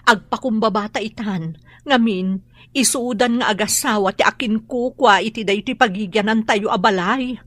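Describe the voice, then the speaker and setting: A woman acts out a sharp-tongued boarding-house busybody for an Ilocano radio drama. Her voice is bright and forceful, recorded close and dry. She has an Ilocano accent and speaks with emphatic expression. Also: medium pitch, normal speed, no room sound, natural voice. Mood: subdued, worried.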